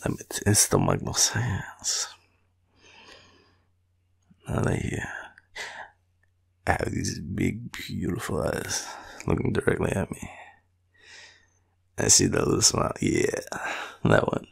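A tired young Australian man murmuring an affectionate, rambling boyfriend roleplay in a deep, gravelly, breathy voice. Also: huge pitch swings, takes it slowly, long pauses. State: tired, sleepy. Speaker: boyfriend roleplay audio performer. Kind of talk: intimate sleepy roleplay, ASMR-like rambling.

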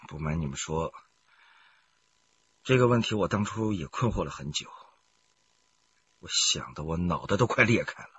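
A man's voice: sits low, expressive, normal speed, long pauses, a bit bright, muffled recording, a little hiss or hum. A man playing a cunning, reckless gambler and murder suspect, brazen and sneering, in a radio crime drama. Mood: cynical confessing.

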